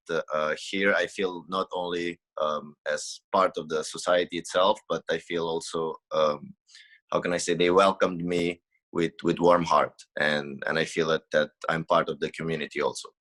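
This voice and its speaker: A man's voice: low voice, little pitch movement, normal speed, fairly bright tone. A man from Mozambique, a podcast guest talking earnestly and searching for words on a remote call. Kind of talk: casual interview talk.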